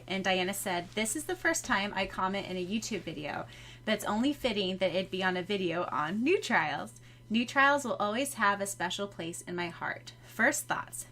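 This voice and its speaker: A woman from the United States talks as a podcast co-host, with a medium-pitched voice. She is effusive and giggly, moving between casual chat and reading aloud. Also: fairly bright tone.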